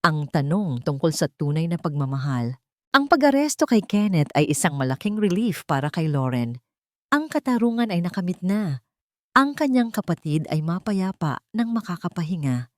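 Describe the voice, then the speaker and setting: A woman's voice: fairly low pitch, sing-song, moderate pace, darkish tone, dry recording, clean studio sound. A woman with a Filipino accent reading scripted, dramatic story narration as an audiobook narrator.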